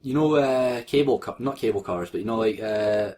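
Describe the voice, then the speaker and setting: A man's voice: fairly low pitch, moderate pace, darkish tone, a little hiss or hum. A man reading fan fiction aloud for comedy, breaking off into sarcastic, sweary, giggly banter. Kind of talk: mocking comedic read-aloud with casual banter.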